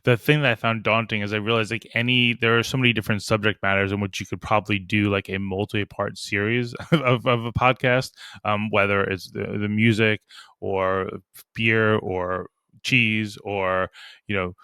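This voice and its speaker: A man's voice: lowish voice, flat delivery, normal speed, bright, forceful tone, clear, resonant tone, no echo, clean studio sound. A man hosting a podcast, asking rambling, casual questions and laughing easily. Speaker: podcast host.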